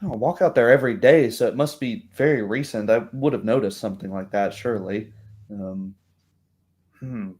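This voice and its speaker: A man's voice: on the low side, moderate pace, a little mellow, close to an everyday voice. A man voicing a puzzled, drowsy woman barkeep who hesitates and thinks aloud in a tabletop roleplay. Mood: thoughtful.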